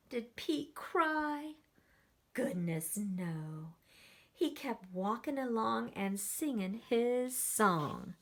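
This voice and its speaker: Woman teacher reading a kids book super slow, lots of gasps and questions. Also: on the high side, husky. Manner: animated, gasps and quizzes children. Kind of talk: children's story read-aloud.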